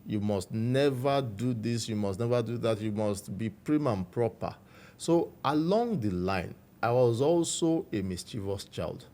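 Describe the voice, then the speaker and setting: A man with a Nigerian accent, a guest recounting his life story in a measured, reflective way. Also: lowish voice, animated. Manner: measured, warm storytelling with frequent "you know".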